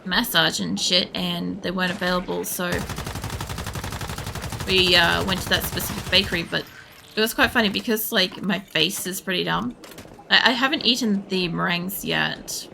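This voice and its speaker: A woman with a creaky voice, giving casual, rambling, sweary gameplay commentary while thinking aloud as she streams. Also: on the low side, fairly even intonation, normal speed, noisy background. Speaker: game streamer.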